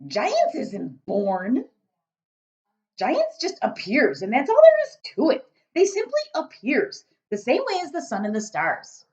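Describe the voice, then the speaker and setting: A woman voicing a huge, very old, kindly male giant who talks loudly with jumbled grammar and made-up words, in a storybook reading. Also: fairly high pitch, huge pitch swings, a little slow, highs cut off, pitched above the performer's own voice. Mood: earnest.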